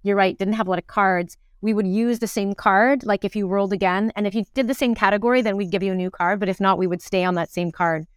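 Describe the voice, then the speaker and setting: A woman's voice: mid-range voice, fairly even intonation, fast, few pauses, clean tone, no echo, clean studio sound. A middle-aged woman, an actor, chatting casually as an interview guest and thinking aloud as she goes.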